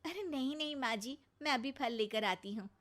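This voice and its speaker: A woman's voice: sits high, lively intonation, moderate pace, fairly bright tone, pitched above the performer's own voice. A woman voicing a worried, dutiful young daughter-in-law in a cartoon family story, anxious and pleading. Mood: flustered, placating.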